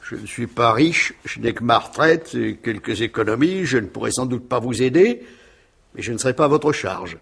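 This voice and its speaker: A man's voice: mid-range voice, animated, moderate pace, gravelly, a bit bright, breathy edge, exaggerated voice. An actor playing a meek retired uncle in his sixties, long henpecked, in a radio mystery play. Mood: weary resolve. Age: 60s.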